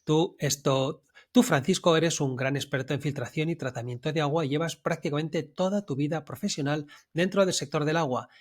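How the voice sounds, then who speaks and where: A man's voice: fairly high pitch, a little fast. A man hosting a podcast, putting questions to a guest in a friendly, chatty manner. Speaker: podcast host and interviewer. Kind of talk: conversational interview.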